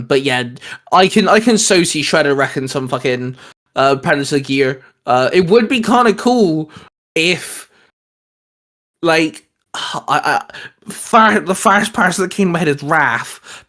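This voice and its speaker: A man's voice: fairly high pitch, a bit bright. A man co-hosting a geek show in casual chat, talking in an enthusiastic, rambling way with some casual swearing. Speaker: co-host of a geek discussion show. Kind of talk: casual chat about comics.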